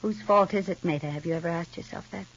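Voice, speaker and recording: An actress playing a proud, curt and resentful sister in an old radio soap opera. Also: female voice, lowish voice, fast. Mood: bitter. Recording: muffled, dull old radio recording.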